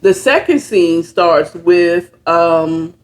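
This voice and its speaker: A woman informally retelling a story in a halting, conversational way, often checking in with her listeners. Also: lowish voice, expressive. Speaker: storyteller retelling a book chapter.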